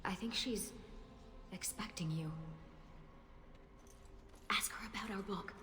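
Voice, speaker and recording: A woman's voice: medium pitch, takes it slowly, background noise, lightly acted. Woman acting an earnest scholarly game companion. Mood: hushed.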